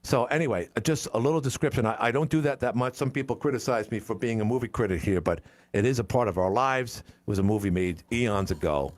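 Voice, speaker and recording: A man's voice: medium pitch, quick pace, dry recording. A man of sixty, hosting a call-in radio talk show, opinionated and rambling, often breaking off and restarting his sentences. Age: 60s. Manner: rambling, opinionated, self-interrupting. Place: radio studio.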